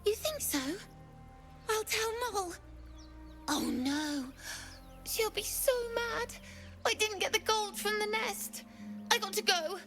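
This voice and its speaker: Very high-pitched, flustered little kid. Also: female voice, slow, pauses often, music in the background. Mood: confused, flustered. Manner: flustered, blurting. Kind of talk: video game character acting.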